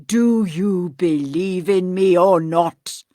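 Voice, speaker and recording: A woman voicing a doomed, chained male ghost who speaks slowly and gravely in a mournful lament. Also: mellow, no echo, clean studio sound. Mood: solemn. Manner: slow, grave, booming lament. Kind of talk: storybook reading.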